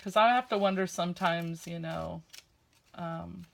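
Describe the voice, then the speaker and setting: A woman with a slight lisp and a loud, carrying voice, chatting casually and rambling a bit while she works on a craft project at home. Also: on the low side, fairly even intonation, unhurried, creaky. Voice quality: loud voice that carries. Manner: chatty, rambling, with frequent fillers. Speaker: crafting vlogger.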